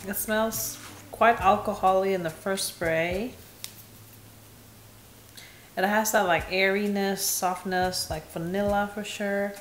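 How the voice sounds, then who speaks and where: A young woman from Indonesia reviewing perfumes in casual, candid chat, laughing at herself, filmed in a small bright room. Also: medium pitch, fairly even intonation, takes it slowly, pauses often, echoey room. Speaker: beauty vlogger.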